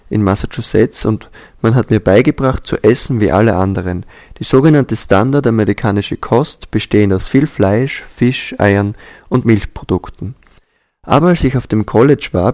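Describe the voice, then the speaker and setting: Calm, measured male audiobook reader. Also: on the low side. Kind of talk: scripted audiobook reading.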